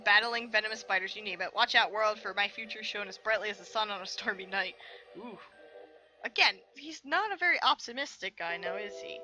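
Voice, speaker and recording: A woman's voice: on the high side, bright, forceful tone, low-quality recording. A woman hosting a game preview, reading visual novel text aloud expressively and adding chatty, reactive asides. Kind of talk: narration of visual novel text with casual commentary. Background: game music.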